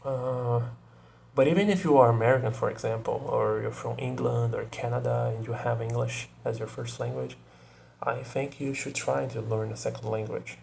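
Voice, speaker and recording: Young Brazilian man, Brazilian accent, deep gravelly fry, mellow tone, slow word-searching casual talk. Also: steady tone, slight background noise. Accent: Brazilian Portuguese. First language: Portuguese. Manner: searching for words, restarts, frequent "you know". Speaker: video creator. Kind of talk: casual monologue to camera.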